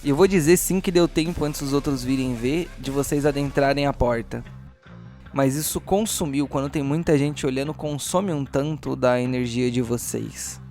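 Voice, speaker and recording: A man's voice: medium pitch, normal speed, mellow, soft background music. A man narrating a tabletop roleplaying game as game master, improvising scenes and addressing the players.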